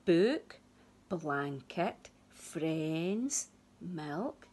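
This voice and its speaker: A woman voicing a gentle, patient mother bear at bedtime, with lilting questions and thoughtful pauses. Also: sits low, animated, slightly rough, full character voice. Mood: puzzled, thinking.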